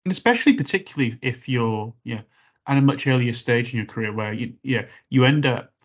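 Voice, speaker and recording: A man's voice: medium pitch, animated, a little fast, muffled recording. A man hosting a podcast interview, talking in a rambling way, repeating words with many fillers. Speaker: podcast host.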